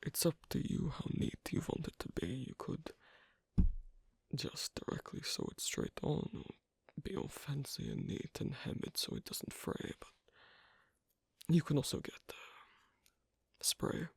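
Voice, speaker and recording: Shy loner werewolf someone acting it for asmr roleplay, whispery and gravelly, slow halting talk, super close dry mic. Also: wildly swooping pitch, darkish tone, dry recording, exaggerated voice. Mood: quietly explaining. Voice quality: breathy, husky, half-whispered. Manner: halting, hesitant, low-key. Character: asocial, reticent young werewolf on a sewing date.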